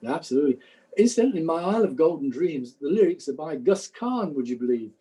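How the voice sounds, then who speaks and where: A man's voice: a little high, big pitch swings, quick pace, dark tone, low-quality recording. A man co-hosting a music podcast, chatting casually and chiming in with agreement. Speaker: podcast co-host. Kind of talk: casual conversation about music.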